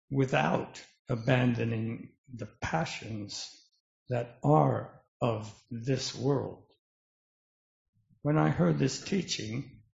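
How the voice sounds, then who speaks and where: A man playing an earnest, humble disciple, speaking haltingly in short phrases in a dramatic reading of scripture. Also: mid-range voice, gravelly, darkish tone. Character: earnest, humble, hesitant male disciple of Buddha. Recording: roomy, dull lo-fi sound.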